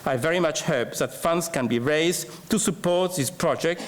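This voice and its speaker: A man reading a prepared scholarly paper at a symposium, formal and measured. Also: on the high side.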